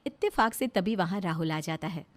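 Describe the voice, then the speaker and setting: A woman's voice: on the low side, animated, fairly quick, dry recording. A woman serves as the narrator of a scripted tale. She reads it as storytelling, carrying the account between the characters.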